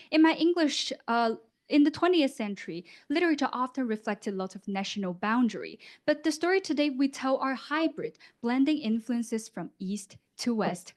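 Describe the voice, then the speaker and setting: Young woman giving a rehearsed speech. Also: high-pitched. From Guangdong, China. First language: Cantonese. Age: young adult. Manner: rehearsed, expressive delivery with rhetorical questions. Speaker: student contestant. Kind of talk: prepared competition speech.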